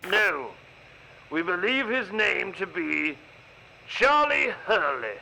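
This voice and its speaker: An actor playing a commanding, ruthless British army general in a radio play, with clipped, authoritative delivery. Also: male voice, sits high, sing-song, slow pace, vocal fry, sharp tone, a little hiss or hum, exaggerated voice, poor audio. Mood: brisk, businesslike. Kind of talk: radio play acting, military radio exchange.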